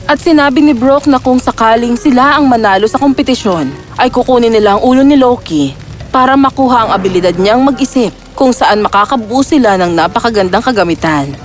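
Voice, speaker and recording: A woman's voice: on the high side, animated, fairly quick. A woman narrator reading a scripted fairy tale as a storyteller. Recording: loud background music.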